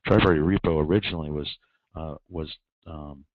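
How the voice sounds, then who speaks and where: A man explaining financial markets on a conference call, in a measured way with frequent ums and uhs. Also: very deep voice, steady tone, no echo. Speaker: repo market expert guest. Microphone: telephone. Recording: tinny phone line.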